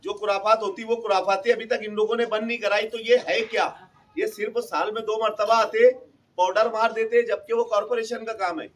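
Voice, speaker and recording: A man's voice: high-pitched, fairly even intonation, brisk, fairly bright tone, far from the mic. A man making an impromptu, insistent speech at a public meeting, often repeating his points. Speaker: community member speaking at a public meeting. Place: local community meeting, at a distance from the mic.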